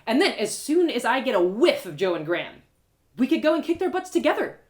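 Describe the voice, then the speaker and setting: A woman's voice: fairly high pitch, big pitch swings, fairly quick, voice pushed up. A woman voicing an eager, plucky young adventurer girl, chatty and earnest, in a told fantasy story. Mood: eager, excited.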